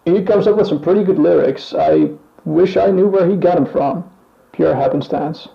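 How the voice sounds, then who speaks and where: A man's voice: high-pitched, very animated, normal speed, vocal fry, reverberant, muffled recording, full character voice. A man playing a band member in a scripted mock interview, speaking in a casual, offhand way.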